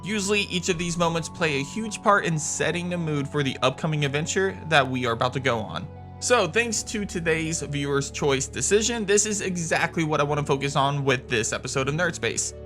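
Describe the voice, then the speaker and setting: A man's voice: fairly high pitch, fairly quick, a bit bright. A man hosting a video game countdown, reading scripted narration in an enthusiastic, emphatic way. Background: music.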